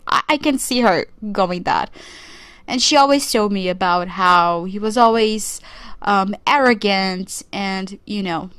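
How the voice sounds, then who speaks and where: A young woman from Brazil speaks English, hosting a podcast alone in an earnest, reflective way. She talks at an unhurried pace with pauses as she searches for words. The recording is dry and close, with no room sound. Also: mid-range voice, fairly bright tone, clean tone, dry recording. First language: Portuguese. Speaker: podcast co-host. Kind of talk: solo podcast commentary.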